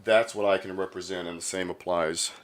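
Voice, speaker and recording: A man's voice: low voice, steady tone, fairly quick. A man hosting a podcast in a casual, laid-back, informal interview style. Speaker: podcast host.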